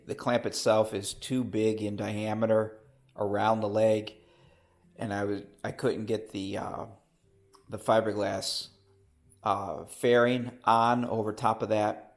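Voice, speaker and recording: Brief: man with a cold, low gravelly voice, casual rambling shop-talk vlog. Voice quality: rough, gravelly. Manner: rambling, self-correcting, with hesitations. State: has a cold. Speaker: homebuilt aircraft builder. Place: home workshop.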